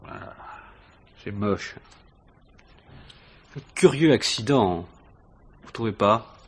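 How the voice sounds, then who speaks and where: Here is a male police inspector from an old radio mystery, low-voiced and husky. He probes with dry irony and feigned casualness, his intonation rising and dipping as he insinuates more than he states. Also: animated, slow pace, exaggerated voice. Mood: skeptical, probing. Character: probing, ironic male police inspector, calmly insistent. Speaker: radio drama actor. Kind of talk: 1950s French radio mystery acting.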